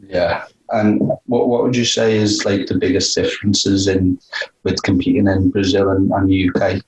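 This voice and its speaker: Low, even-toned male interviewer. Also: normal speed, rough recording. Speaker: podcast interviewer. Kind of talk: casual interview questions.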